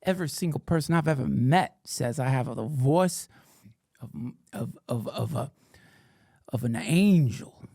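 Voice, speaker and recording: A middle-aged man hosting a comedic stream, riffing in a mock-indignant persona that swings from whispers to shouts. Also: mid-range voice, expressive, measured pace, no echo, clean studio sound. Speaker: podcast host reading chat questions.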